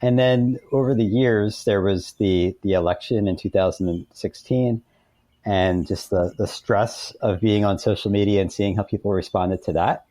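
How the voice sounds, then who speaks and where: Male author guest, very low and soft-edged voice, thoughtful conversational delivery. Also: steady tone, moderate pace, no room sound. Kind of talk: reflective conversational interview.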